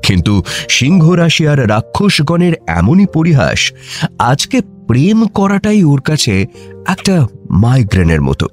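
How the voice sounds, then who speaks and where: Highly animated male story narrator. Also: a little slow, faint music behind. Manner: playful, addresses listeners directly. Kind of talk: dramatic scripted story narration.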